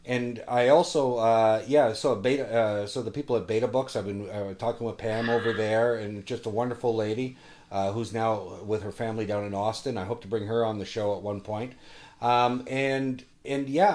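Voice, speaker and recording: A man hosting a casual interview, enthusiastic and halting, often repeating his words as he goes. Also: mid-range voice, steady tone, darkish tone. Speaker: interview show host.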